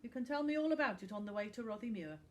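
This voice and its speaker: A woman's voice: fairly high pitch, animated, racing, darkish tone, voice pushed up, natural voice. A woman voicing a firm, kind young footballer who stands up to bullies in a storybook.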